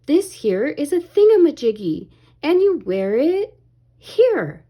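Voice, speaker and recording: A woman voicing a muddled but confident male seabird in a children's storybook, declaring things excitedly. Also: high-pitched, slow, pitched above the performer's own voice, full character voice.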